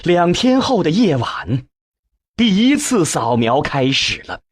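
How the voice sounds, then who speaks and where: A man reading a novel as a scripted narration, with measured, expressive storytelling and a husky voice. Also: on the high side, no echo, studio quality. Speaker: audiobook narrator.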